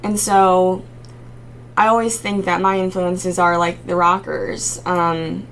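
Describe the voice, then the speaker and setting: A young woman with a raspy voice, a singer-songwriter guest chatting casually in an interview with frequent ums. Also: fairly low pitch, level tone, takes it slowly, fairly bright tone, slight background noise. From Maryland, United States.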